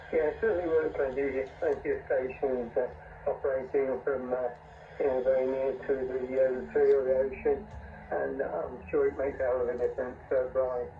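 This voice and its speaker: A man chatting casually as an amateur radio operator, his voice coming over a radio link. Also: mid-range voice. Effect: radio transmission. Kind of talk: casual radio contact chat. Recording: tinny, distant, faintly noisy radio link.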